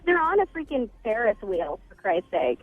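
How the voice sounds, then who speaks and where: A woman's voice: a little high, big pitch swings, normal speed, no echo. A woman speaking casually as a caller on a radio show, over a narrow telephone line. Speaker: phone-in caller. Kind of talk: casual chat.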